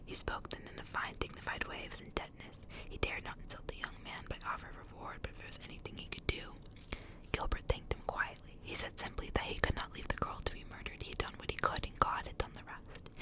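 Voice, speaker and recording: Casting: female ASMR reader, fully whispered and breathy, hushed intimate delivery, close to the mic. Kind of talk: whispered ASMR story reading and chat.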